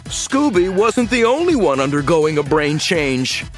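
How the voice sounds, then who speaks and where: Guy reading an audiobook, slow, pitch all over, faint music under it. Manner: lively storytelling, slow and animated. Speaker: audiobook narrator. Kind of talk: first-person audiobook narration.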